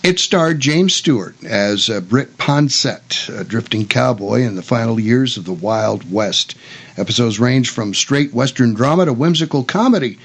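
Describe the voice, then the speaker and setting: Creaky-voiced male radio host. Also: medium pitch, lively intonation, moderate pace. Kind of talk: casual radio talk, partly reading notes.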